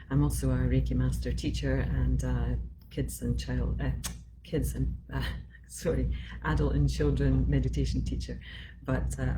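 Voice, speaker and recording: Scottish woman, 50s, very deep voice, chatty live-stream talk full of ums, audible background noise. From Scotland. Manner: chatty, rambling with many fillers. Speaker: emotional support specialist.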